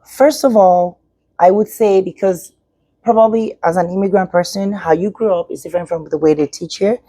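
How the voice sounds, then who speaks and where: A middle-aged woman from Nigeria, a native Yoruba speaker, gives earnest advice as an educator and drives her points home by repeating them. Her voice is mellow and a little husky. It is recorded close and dry. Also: medium pitch, fairly even intonation, normal speed, dry recording, poor audio. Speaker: educator guest. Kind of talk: interview advice.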